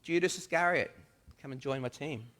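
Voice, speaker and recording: A man voicing a calm, gentle man who calls followers to join him, speaking softly and patiently in a dramatic monologue. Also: on the high side, normal speed, long reverb tail, voice pushed up, lightly acted. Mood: summoning. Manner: soft, patient, reassuring. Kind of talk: dramatic first-person monologue.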